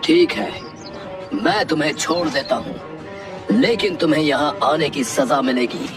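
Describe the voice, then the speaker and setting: Man as stern cartoon wrestler, slow and high. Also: expressive. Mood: commanding. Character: stern, threatening male village wrestler. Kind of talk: Hindi cartoon dialogue. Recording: distant voice with music underneath.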